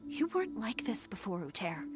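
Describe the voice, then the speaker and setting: A woman's voice: medium pitch, big pitch swings, moderate pace, music in the background, lightly acted. A woman playing a proud, defiant young fashion designer in an anime game, cutting and assertive in her delivery. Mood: cutting, reproachful.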